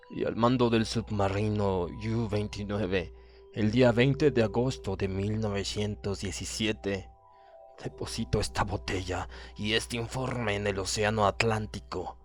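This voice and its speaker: A proud German submarine commander, read by a man, recounts disaster in a formal, measured way. The voice is fairly low and coldly pompous, with music clearly behind it in audiobook style. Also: close to an everyday voice. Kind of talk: dramatic audiobook reading.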